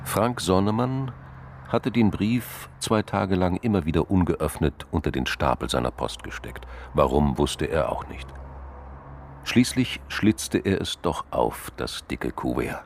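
An actor playing the sober, deliberate narrator of a crime radio play, with a gravelly voice. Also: male voice, animated, a little hiss or hum. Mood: sober, suspenseful. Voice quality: very deep, gravelly. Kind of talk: scripted radio drama narration.

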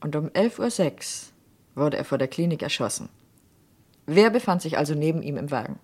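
A woman's voice: low voice, animated, moderate pace, a little mellow, husky. A cool, suspicious widow in a radio crime play. She probes with sardonic, pointed phrasing, as though she believes nothing she is told. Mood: probing, sardonic. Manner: sardonic, probing. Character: cool, suspicious wife of a murdered man. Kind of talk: German radio crime drama acting.

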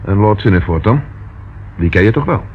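An actor in a radio play as a police inspector, probing and direct, curt but caring. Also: male voice, fairly low pitch, animated, brisk, lightly acted. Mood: firm, probing. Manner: probing, direct, sometimes snappy. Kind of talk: Dutch radio play acting. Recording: dull lo-fi old radio recording, faint noise.

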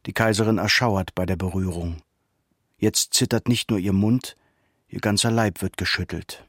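A man narrating a literary radio drama with grave, measured, dramatic delivery. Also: fairly low pitch, steady tone, fairly bright tone, no echo, studio quality.

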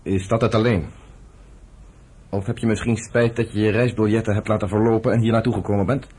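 An actor playing a proud wounded fighter, probing and earnest, in a radio drama scene. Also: male voice, lowish voice, level tone, brisk, darkish tone, husky. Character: wounded fighter, proud, questioning his lover.

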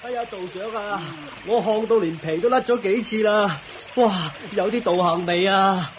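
A man's voice: high voice, moderate pace, noisy background, lightly acted. A man playing an eager, deferential disciple of ascetics in a Cantonese radio drama, plaintive and full of interjections.

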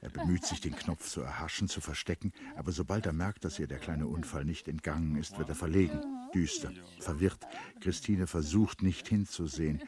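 A man narrating a radio play in a measured, literary storytelling style. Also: extremely low pitch, a crowd in the background.

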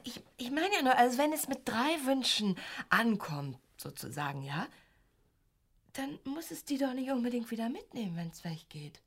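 Brief: a whiny, pleading teen girl in a radio play, bright and very animated. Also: fairly high pitch, moderate pace, heavily acted. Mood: tentative. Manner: pleading, dramatic. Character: whiny, pleading, excitable teenage daughter. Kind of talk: radio drama acting.

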